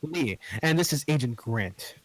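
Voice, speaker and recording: A man's voice: mid-range voice, measured pace, poor audio. A man reading the part of a rude, impatient male partner in a script table read. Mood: smooth. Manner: insulting, impatient, lively.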